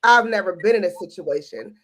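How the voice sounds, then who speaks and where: A woman's voice: medium pitch, animated, brisk, fairly bright tone. Candid woman sharing her dating story. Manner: candid, storytelling with quoted asides. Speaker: podcast co-host. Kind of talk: candid casual chat.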